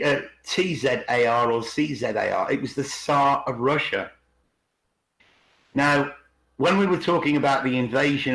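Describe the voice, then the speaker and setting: A man co-hosting a podcast, explaining conversationally and slowing down to stress key words. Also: mid-range voice, fairly bright tone. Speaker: podcast co-host. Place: indoors on an online group call. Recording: roomy, echoing online call.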